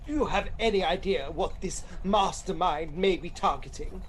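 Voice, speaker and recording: A man's voice: high-pitched, normal speed, slight background noise, close to an everyday voice. A man playing a sulky, sarcastic detective's sidekick in a comic radio drama, dry and petulant. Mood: dry sarcasm.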